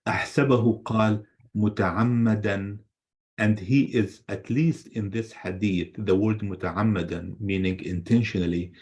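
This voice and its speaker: Very low-voiced man, halting and emphatic. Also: steady tone, darkish tone. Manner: halting, repeats words, emphatic. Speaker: guest presenter, scholar. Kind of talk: unscripted answer to a question.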